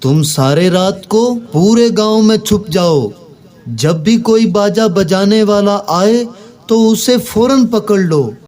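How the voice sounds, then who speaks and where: Cartoon village landlord, played by a man: stern, bossy, scolding, high-pitched and unhurried. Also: animated, darkish tone. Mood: commanding. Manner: scolding, authoritative. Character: angry, commanding village landlord, stern with his servants. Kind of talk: children's cartoon moral story.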